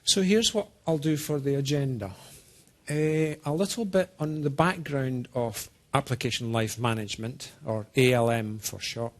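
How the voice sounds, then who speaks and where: A man with an accent that is part Scottish, part American, speaks at a middling pitch. He presents technical material informally, as a program manager would to a small group. His delivery is deliberate and a little halting, and he invites interaction. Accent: Scottish-American mix. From Scotland.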